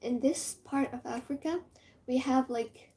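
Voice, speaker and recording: A young woman from Morocco with a Moroccan accent, Amazigh her first language, chatting casually and excitably, rambling with many fillers and dropping into whispers. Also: high-pitched, a little slow. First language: Amazigh (Tamazight). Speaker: vlogger.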